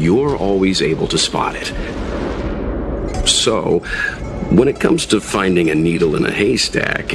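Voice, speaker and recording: A man's voice: low-pitched, heavily acted. An actor playing a courteous, encouraging young male travelling companion in a video game. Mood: admiring. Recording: music behind the voice.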